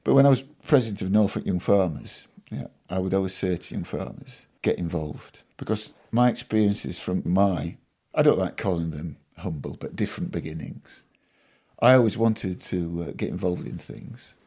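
A man in his sixties from Yorkshire, a farmer being interviewed on a podcast, telling stories in a measured, modest way with dry humour. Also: sits low, lively intonation, mellow, slightly rough. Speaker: guest farmer. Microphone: podcast microphone.

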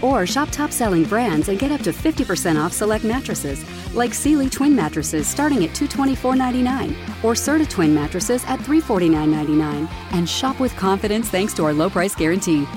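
A woman's voice: mid-range voice, animated, fairly quick, few pauses. An American woman reading a scripted retail commercial. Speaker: advertisement voice-over. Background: plucked guitar music.

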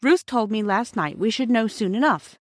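A woman's voice: a little high, animated, normal speed, dry recording, clean studio sound, pitched above the performer's own voice, natural voice. Woman as a bossy, hot-tempered schoolboy. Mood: worried. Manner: argumentative, schoolboy slang.

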